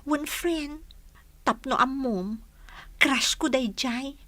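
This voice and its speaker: A woman playing a lively, girlish young market vendor in an Ilocano radio drama. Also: high-pitched, slow pace, dry recording, full character voice. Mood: giddy, lovestruck.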